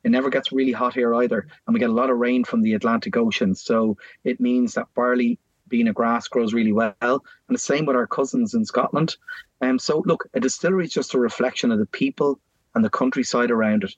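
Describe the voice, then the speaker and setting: A man's voice: mid-range voice, steady tone, brisk, breathy edge, no room sound, muffled recording. A man with an Irish accent, a guest in casual conversation, telling history at length in a rambling, explanatory way with many fillers. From Ireland. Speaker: guest, whiskey maker.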